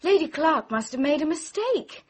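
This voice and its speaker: A woman's voice: high voice, big pitch swings, normal speed. A woman playing a flustered, defensive young Englishwoman in a radio mystery, with a British accent and hesitant speech. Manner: hesitant, evasive. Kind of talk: radio mystery drama acting.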